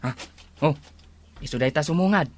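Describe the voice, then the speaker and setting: An actor with an Ilocano accent playing an eager, excitable henchman sidekick in a radio comedy drama. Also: a little high, moderate pace, natural voice. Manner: echoing his boss, egging on.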